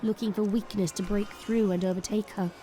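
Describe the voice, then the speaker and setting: Even-toned woman narrator. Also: medium pitch, brisk. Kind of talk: scripted story narration. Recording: clear background noise and ambience.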